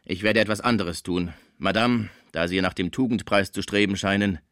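A man's voice: sits low, steady tone, moderate pace, fairly bright tone, dry recording, clean studio sound. A man voicing a jovial but hard-nosed theatre director, blunt and commanding, in a storybook reading. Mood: decisive.

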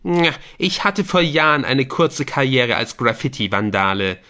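A man voicing a frank young male gamer among friends, animated and colloquial, in audiobook dialogue. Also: mid-range voice, measured pace, pitched above the performer's own voice. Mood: rueful.

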